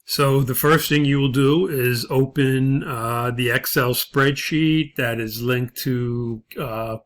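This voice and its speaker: A man instructing through an unscripted spreadsheet walkthrough, explaining things in a halting, matter-of-fact way. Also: medium pitch, fairly even intonation.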